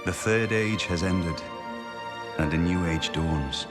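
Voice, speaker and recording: An actor playing a noble, resigned emperor in a fantasy game, giving a grave and measured farewell narration. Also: male voice, low voice. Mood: solemn, resolute. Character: noble emperor, solemn, resigned, speaking a farewell after sacrifice. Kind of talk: slow dramatic game narration. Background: orchestral music.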